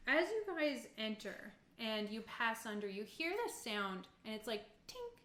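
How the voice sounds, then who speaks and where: A woman narrating as game master in a casual, improvised, halting way. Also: on the high side, sing-song, fairly bright tone. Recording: roomy, echoey sound.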